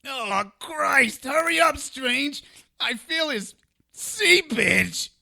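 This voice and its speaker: A man's voice: very high-pitched, expressive, drawn-out words, vocal fry, sharp tone, heavily acted. An actor playing a cranky, put-upon male sidekick in a comedic audio drama, mouthy and full of exasperated complaints. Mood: flustered, indignant.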